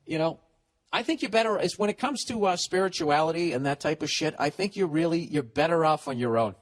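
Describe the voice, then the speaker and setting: A man's voice: fairly high pitch, fairly quick. A man in his forties in a solo comedic podcast rant, profane and sarcastic. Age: 40s. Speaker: podcast host.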